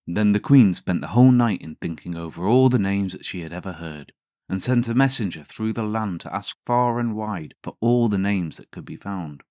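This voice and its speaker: A man's voice: lowish voice, moderate pace, warm tone, no echo, clean studio sound. A man narrating as a storyteller and host, theatrical and wry in his delivery. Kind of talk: dramatic fairytale narration with sardonic commentary.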